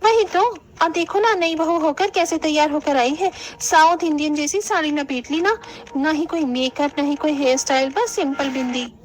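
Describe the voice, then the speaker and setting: A woman's voice: high voice, normal speed, lightly acted. A woman voicing a vain, mocking, fashion-obsessed young daughter-in-law in a cartoon, with an affected manner. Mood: catty.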